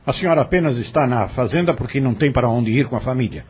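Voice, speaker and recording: A man playing a stern, defiant farm overseer in an audio novel, with a husky voice and a Brazilian accent. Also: medium pitch, lively intonation, fast, a little mellow. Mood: defiant, scornful. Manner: curt, defiant, dismissive.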